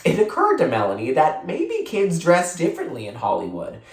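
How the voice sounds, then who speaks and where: Expressive storybook reader in a roomy echo. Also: medium pitch, normal speed. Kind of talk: expressive read-aloud narration of a children's novel.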